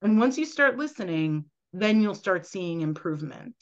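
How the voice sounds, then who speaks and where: A woman's voice: lowish voice, sing-song, normal speed. Woman explaining on dull lo-fi audio. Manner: earnest, explains at length with frequent "right?" check-ins. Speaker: guest, medical intuitive. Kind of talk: explanatory podcast conversation.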